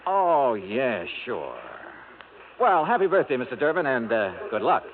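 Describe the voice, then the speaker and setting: An actor playing a hardboiled insurance investigator, narrating and talking in a dry, sardonic, laconic way. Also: male voice, medium pitch, big pitch swings, unhurried, background noise. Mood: sly. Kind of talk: 1950s radio drama narration and dialogue. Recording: tinny 1950s radio with music.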